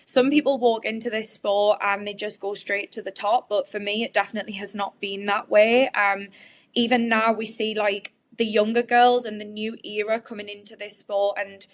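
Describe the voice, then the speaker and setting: A woman's voice: mid-range voice, little pitch movement, moderate pace. A woman in her late twenties from Newcastle, speaking with a northeast English Geordie accent, answering interview questions in a chatty, open way. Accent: Geordie (northeast England). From Newcastle, northeast England. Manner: chatty and open, explains at length. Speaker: guest, bodybuilding competitor.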